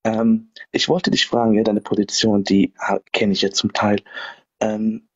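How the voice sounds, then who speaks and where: A man calling into a livestream, speaking quietly and hesitantly, apologizing often. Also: on the low side, little pitch movement, a little slow, breathy edge, highs cut off. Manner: hushed and hesitant, keeps apologizing. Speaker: caller. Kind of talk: livestream call-in questions.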